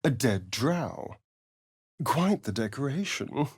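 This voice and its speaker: An actor playing a suave, sardonic vampire rogue in a video game, vain and theatrical, with an arch, drawling delivery. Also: male voice, medium pitch, very animated, takes it slowly, slightly rough, no echo, clean studio sound, full character voice. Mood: sly, droll. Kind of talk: dramatic video game character lines and narration.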